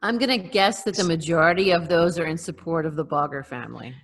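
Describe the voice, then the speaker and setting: A woman's voice: lowish voice, fast. A woman co-hosting a podcast over a video call, chatting in a playfully dry manner. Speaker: podcast co-host. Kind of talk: casual chat.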